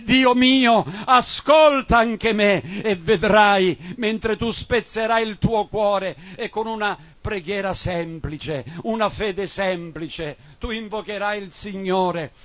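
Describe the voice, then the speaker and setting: Male Pentecostal preacher, high register, declamatory and insistent delivery. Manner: emphatic, declamatory, repetitive exhortation. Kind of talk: evangelical Pentecostal sermon and prayer.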